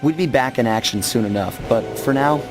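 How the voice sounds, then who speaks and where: An actor playing a cocky, smooth young master thief in a video game, wry, self-assured and easygoing. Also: male voice, mid-range voice, a little fast, lightly acted. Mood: wry narration. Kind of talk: video game acting and storybook-like narration. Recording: very loud music behind.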